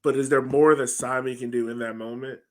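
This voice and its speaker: Man chatting casually about sport, medium pitch. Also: steady tone, a little fast. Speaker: podcast co-host. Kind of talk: casual sports analysis chat. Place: home room, on a video call.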